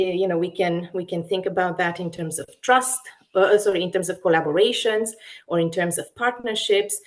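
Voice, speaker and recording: A woman researcher from Romania with a Romanian accent, explaining her work in a webinar talk in a deliberate way, often pausing mid-phrase. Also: mid-range voice. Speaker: academic researcher, webinar lecturer.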